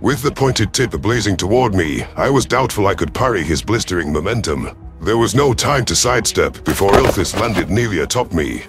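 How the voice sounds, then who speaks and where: Male narrator for a sci-fi audio story: deep register, measured dramatic read, light music bed. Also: expressive. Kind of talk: dramatic first-person scripted narration.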